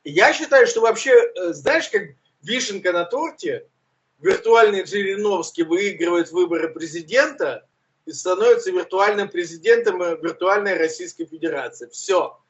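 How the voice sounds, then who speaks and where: A man with a high voice and a rolled, French-sounding R chats through the week's news as co-host. He is loose and self-mocking and says "uh" often. The sound is dull and lo-fi, like an online stream. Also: normal speed. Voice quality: uvular R, burred. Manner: chatty, self-mocking, with fillers. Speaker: co-host, journalist. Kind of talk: casual news commentary chat.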